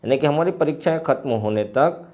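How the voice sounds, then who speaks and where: A man with an Indian accent, a native Hindi speaker, teaching line by line and repeating phrases deliberately as he translates. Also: a little high, animated, darkish tone. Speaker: English teacher. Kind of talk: line-by-line bilingual lesson, reading and translating.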